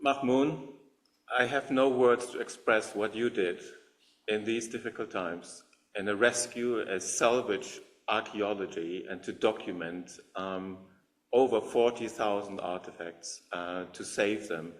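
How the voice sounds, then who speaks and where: Moderator: man, low voice, loose and rambling, heavy on "um". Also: a little slow. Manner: rambling, many fillers, admiring. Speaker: meeting organizer and moderator. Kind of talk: conversational remarks to an audience.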